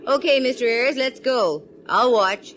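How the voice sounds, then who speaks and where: A woman's voice: fairly high pitch, very noisy, close to an everyday voice. A woman playing a sassy young private eye. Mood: breezy. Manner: quick wisecracks, teasing and self-assured. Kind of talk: 1940s radio acting.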